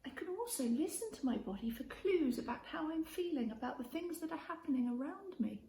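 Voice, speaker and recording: A high-voiced woman reads a picture book aloud for children, with very animated, swooping intonation. She speaks straight to her young listeners in an expressive storytelling style. The room adds a noticeable echo around her voice. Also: a little fast. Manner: expressive, addresses child listeners with questions and prompts. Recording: roomy echo with faint background noise.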